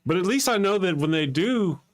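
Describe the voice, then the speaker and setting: A man's voice: high voice, lively intonation, fairly quick. A man with a Texas accent hosting a casual live-stream chat show, joking around and reading out viewer messages. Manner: laid-back, jokey, reads out super chats. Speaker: host.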